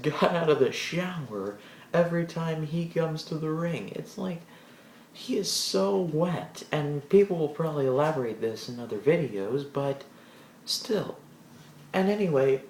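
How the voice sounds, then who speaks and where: A man giving a casual solo wrestling show review, rambling with restarts and animated asides in a deep voice. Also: roomy sound, slight background noise.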